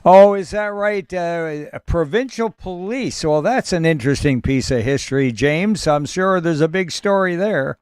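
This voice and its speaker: A man with a slightly higher voice runs an amateur radio net. He talks in a friendly, folksy way, with plenty of "uh"s and relaxed pauses between call signs. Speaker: net control host of a ham radio net. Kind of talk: radio net operating chat.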